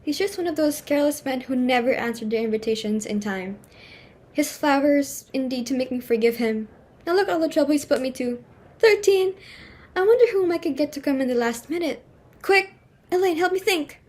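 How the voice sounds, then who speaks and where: Woman acting a high-voiced fussy society hostess. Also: brisk, darkish tone, natural voice. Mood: flustered, indignant. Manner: theatrical, exclamatory, fretful. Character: fussy, snobbish, scheming middle-aged society hostess and mother. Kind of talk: dramatic play reading.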